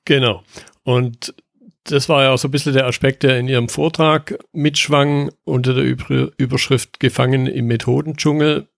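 Podcast host, man, gravelly, formal polished delivery, dry close mic. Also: mid-range voice, fairly even intonation, normal speed, dry recording. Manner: polished, formal, friendly interviewer. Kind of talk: scripted intro and interview questions.

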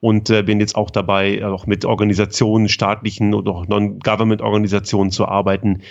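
A man's voice: lowish voice, flat delivery, a little fast, creaky, dull audio. A man who grew up in Germany, a consultant explaining his work thoughtfully in an interview, with frequent hesitations. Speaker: guest, lean consultant. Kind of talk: conversational interview answers.

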